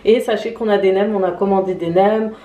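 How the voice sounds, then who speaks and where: A woman's voice: mid-range voice, fairly even intonation, fairly quick, warm tone, reverberant, lo-fi sound. A tired woman chatting casually in her home kitchen, hesitant, with many fillers. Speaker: vlogger. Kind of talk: casual vlog chat.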